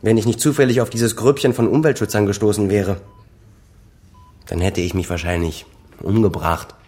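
Man acting a bitter, low-voiced cynical son. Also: fairly even intonation, normal speed, darkish tone, close to an everyday voice. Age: middle-aged. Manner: sarcastic, accusing. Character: bitter, cynical grown son confronting his father. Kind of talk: naturalistic German radio drama acting.

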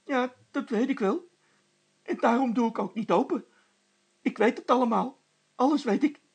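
A man's voice: extremely high pitch, moderate pace, gravelly, breathy edge, voice pushed up, heavily acted. A man voicing a stubborn, frightened female housekeeper in a story, calling out shrilly and insistently. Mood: stubborn, defiant.

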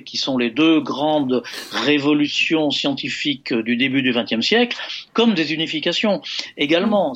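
A man's voice: on the high side, fairly bright tone, lo-fi sound. A man, a physicist guest on a radio show, explaining ideas carefully and searching for words.

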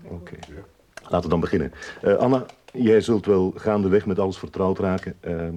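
A man acting a support group coordinator in a radio play, calmly reassuring the circle. His voice is deep and mellow, with a low-key delivery. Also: brisk, slight background noise, close to an everyday voice. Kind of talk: radio drama dialogue, addressing a meeting.

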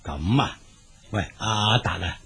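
A man playing a kindly old grandfather in a radio drama, halting and soothing, with a Hong Kong Cantonese accent. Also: fairly low pitch, expressive, a bit bright, slightly rough, exaggerated voice. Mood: reassuring. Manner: halting, drawn-out, soothing, with filler particles.

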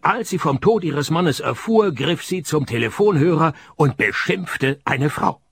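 An actor playing a dry, stubborn private detective in a radio play, with a Swiss accent and a deadpan, sardonic delivery. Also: male voice, fairly high pitch, measured pace, gravelly, breathy edge, no room sound, full character voice. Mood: dry, matter-of-fact. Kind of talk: radio play acting and first-person narration.

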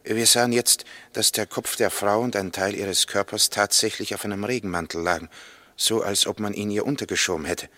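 An actor playing a plain, sober witness in a 1960s German radio play, giving a factual step-by-step account. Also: male voice, on the low side, fairly even intonation, brisk, pushed and bright, no echo. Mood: sober recounting. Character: male witness, plain neighbor soberly recounting a grim discovery.

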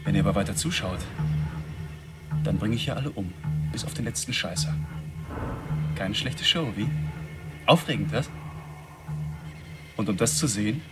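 An actor playing a volatile, taunting killer who performs for television viewers in a radio drama. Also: male voice, lowish voice. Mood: quiet menace. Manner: brash, crude, taunting, addressing a mass audience. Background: music.

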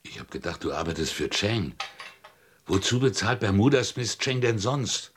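A man playing a gruff, suspicious streetwise underworld character in a German radio drama, blunt and coarse in manner. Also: fairly low pitch, animated, moderate pace, slight background noise, lightly acted. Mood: angry, accusing.

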